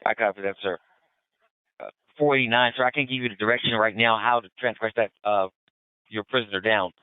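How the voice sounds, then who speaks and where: Fast-talking male police radio dispatcher. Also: on the low side, steady tone, clear, resonant tone, dry recording. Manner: procedural, clipped call-and-response. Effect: two-way police radio. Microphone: radio transmitter. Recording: muffled, band-limited police radio transmission.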